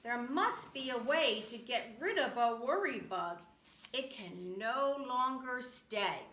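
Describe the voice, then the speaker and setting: A resolute male storybook hero acted out by a woman reader speaks with firm emphasis. The voice is high and bright, measured in pace, with room echo around it. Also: expressive, heavily acted. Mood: exasperated. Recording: roomy echo, faint background noise.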